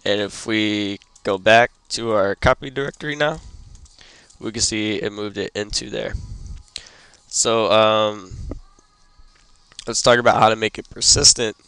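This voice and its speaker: A young man who is sick and losing his voice, hosting a casual computer tutorial and stopping often with ums and throat clearing. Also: fairly low pitch, fairly even intonation, a little slow, long pauses, fairly bright tone, no room sound, faint music behind. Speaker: tutorial host. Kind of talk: casual screen-recorded tutorial.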